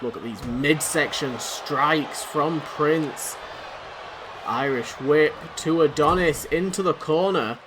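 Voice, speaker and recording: A man rattles through excitable play-by-play of a wrestling video game, rambling and poking fun at himself. His voice sits a little high and is going hoarse from overuse. The recording carries a clear layer of background noise. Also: takes it slowly. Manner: excitable, rambling, self-deprecating. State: losing his voice. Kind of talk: play-by-play commentary. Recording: noisy background.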